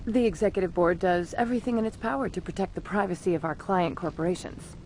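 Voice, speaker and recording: Actress as composed, officious corporate assistant. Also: female voice, fairly low pitch, fast talker, a little mellow, a little hiss or hum, close to an everyday voice, rough recording. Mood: firm, guarded. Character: polite, brisk, guarded female corporate assistant. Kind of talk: video game cutscene acting.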